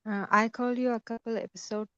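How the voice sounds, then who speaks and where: A woman's voice: fairly high pitch, steady tone, bright, forceful tone, clean tone, dry recording. Young Afghan woman calling in, muffled line. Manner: non-native English with halting phrasing. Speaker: caller. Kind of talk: hesitant question over a call. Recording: dull lo-fi call audio, dry.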